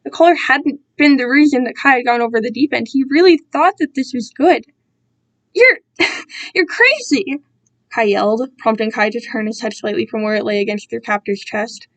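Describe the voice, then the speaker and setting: A woman giving an amateur dramatic reading of a story, narrating in an expressive, emotive and informal manner. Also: a little high, fairly quick, hardly any pauses.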